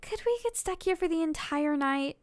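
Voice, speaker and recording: Bashful, brainy schoolgirl for a romance story, played by a woman reader; high, expressive, dry recording. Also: normal speed, no room sound. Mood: anxious.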